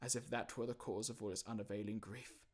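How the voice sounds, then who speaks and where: A man's voice: lowish voice, steady tone, quick pace. A man reading scripted literary narration as an audiobook narrator and actor.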